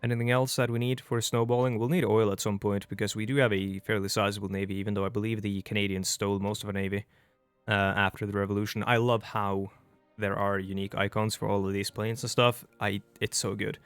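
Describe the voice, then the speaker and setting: A man's voice: fairly low pitch, little pitch movement, brisk, clear, resonant tone. A young man from Norway with a Norwegian accent, whose first language is Norwegian, giving casual, chatty gameplay commentary as a let's play host and often stopping to restart his sentences. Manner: chatty and rambling, with frequent restarts. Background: faint background music.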